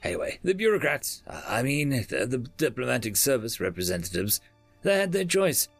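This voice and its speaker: A man's voice: medium pitch, very animated, moderate pace, gravelly, no room sound, soft background music. A man voicing a boastful, chatty male chef who rambles in folksy slang.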